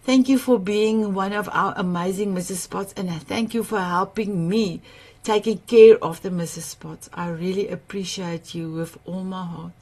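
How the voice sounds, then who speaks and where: A woman's voice: medium pitch, steady tone, moderate pace, darkish tone. Effusive woman with an Afrikaans-tinged voice. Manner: effusive, searching for words, repeats phrases. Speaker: summit host. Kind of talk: warm livestream summit hosting.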